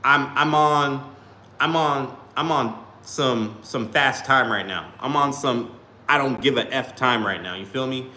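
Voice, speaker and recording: Male streamer, mid-pitched, rambling casual delivery, echoey room with faint hum. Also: a little slow, bright, forceful tone. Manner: rambling, repeats "you feel me". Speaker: small YouTuber live-streaming. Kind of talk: casual livestream talk to chat. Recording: roomy echo, faint background hum.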